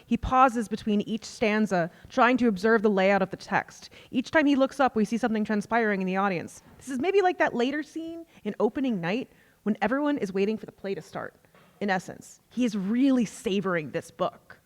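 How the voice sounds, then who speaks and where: A woman's voice: medium pitch, animated, a little fast. A woman giving scripted narration of stage directions at a live staged reading in a theatre. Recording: reverberant live theatre hall.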